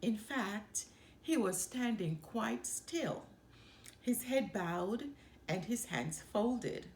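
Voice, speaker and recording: A woman reading a children's picture book aloud in an expressive, measured storytelling manner. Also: medium pitch. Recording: echoey room with faint background noise.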